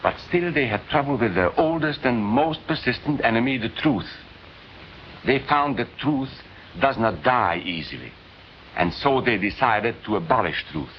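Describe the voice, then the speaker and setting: A man playing a grave professor, narrating with measured emphasis in a 1940s educational film. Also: mid-range voice, expressive, slight background noise, lightly acted. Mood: solemn, indignant. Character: grave male professor recounting the rise of Nazism. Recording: dull 1940s film soundtrack. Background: music.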